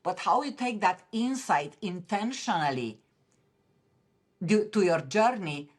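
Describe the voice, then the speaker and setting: A woman's voice: mid-range voice, expressive, slow pace. A woman with a Romanian accent, a podcast guest speaking earnestly and passionately, often restarting her sentences. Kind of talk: interview conversation.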